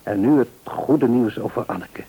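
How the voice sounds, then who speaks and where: An actor playing a devout, reassuring middle-aged father in a radio play, speaking in a measured, earnest, counselling way. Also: mid-range voice, warm tone, slightly rough, rough recording. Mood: content, reflective. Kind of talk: mid-century radio play acting.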